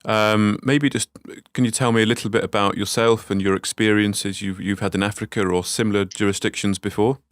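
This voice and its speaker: Low-voiced male interviewer, short questions. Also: fairly even intonation, a little fast, no echo, clean studio sound. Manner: brief questions with small restarts. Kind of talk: business interview.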